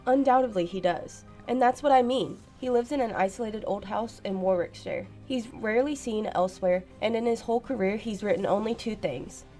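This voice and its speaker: A woman's voice: mid-range voice, fairly quick, few pauses, mellow, natural voice. A woman playing an anxious, irritable British science secretary in a radio drama, speaking emphatically. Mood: suspicious. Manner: emphatic, old-fashioned British turns of phrase. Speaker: actress. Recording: faint music behind a student radio play.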